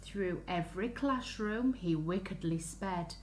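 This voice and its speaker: A woman reading a picture book aloud to young children in an expressive, sing-song way, stopping to ask them questions. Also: on the low side, a little slow. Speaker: children's story reader. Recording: roomy echo, faint background noise.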